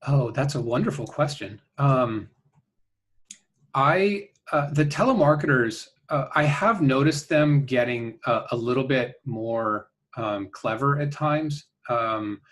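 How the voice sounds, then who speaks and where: A man answering interview questions conversationally, earnest and halting, often checking in with a "right?". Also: medium pitch, fairly bright tone. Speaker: interview guest, company founder.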